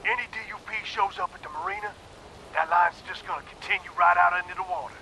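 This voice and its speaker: An actor playing a defiant, hot-tempered criminal on the run in a video game, with a gravelly voice. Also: male voice, sits high, sing-song, fast talker, bright, forceful tone, full character voice. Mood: defiant threats. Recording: clearly noisy background.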